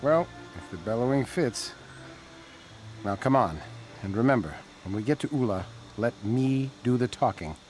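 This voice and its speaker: A sardonic little gnome creature, acted by a man in a fantasy video game. He is dry and mocking, with an animated middle-pitched voice over faint music. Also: normal speed, lightly acted, low-quality recording. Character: sardonic, wily small male gnome-like creature.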